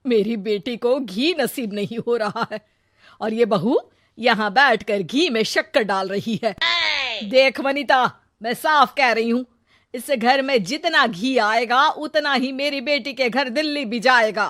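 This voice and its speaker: A woman's voice: fairly high pitch, a little slow, dry recording, clean studio sound. Woman playing a bossy, scolding older mother. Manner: scolding, forceful, domineering. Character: bossy older mother, fiercely partial to her daughter.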